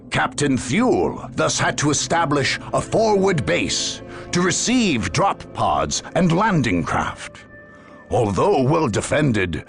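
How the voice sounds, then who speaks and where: An actor playing a grave chronicler of war, giving portentous scripted narration for a video game. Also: male voice, mid-range voice, creaky, fairly bright tone, heavily acted. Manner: portentous, measured storytelling. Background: music.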